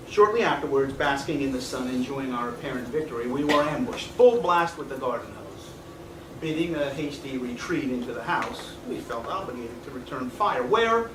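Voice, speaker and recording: A man playing a weary, nostalgic man in his fifties, speaking deliberately and reflectively in a theatrical stage performance. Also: medium pitch, close to an everyday voice. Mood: wistful storytelling. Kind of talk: theatrical stage monologue and dialogue. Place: small indoor theatre stage. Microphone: far camera. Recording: echoey theatre stage, distant noisy camera mic.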